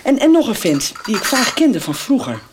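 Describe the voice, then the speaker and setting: An actress playing a chatty, puzzled friend in a radio soap. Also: female voice, fairly high pitch, big pitch swings, normal speed, background noise. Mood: confiding.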